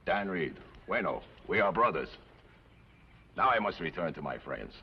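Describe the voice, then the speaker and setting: An actor playing a grateful, wry Mexican outlaw of about forty-five in an old TV western, speaking formally and floridly with a Mexican accent. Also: male voice, low-pitched, sing-song, normal speed. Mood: amused, warm. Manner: florid, formal speech sprinkled with Spanish. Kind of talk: 1950s television western acting. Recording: muffled, noisy old TV western soundtrack.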